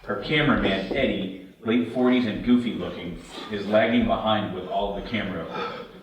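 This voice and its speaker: A man's voice: lowish voice, fairly quick, highs cut off. A man reading scripted stage directions at a table read. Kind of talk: scripted narration. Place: echoey room, away from the mic. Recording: echoey room, distant mic, faint noise.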